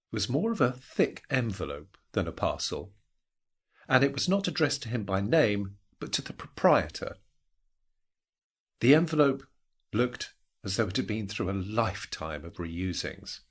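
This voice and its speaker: A man narrating a scripted literary story in a measured, wry storytelling manner. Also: lowish voice. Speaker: narrator of an audio drama.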